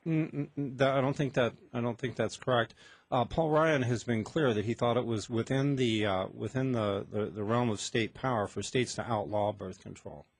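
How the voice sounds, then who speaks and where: A man with a husky voice in a dry, close sound argues politics on the radio. He circles back over his phrases and restarts them as he debates off the cuff. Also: mid-range voice, normal speed, dry recording. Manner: hesitant, repeats and restarts phrases. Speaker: political guest on a radio call-in show.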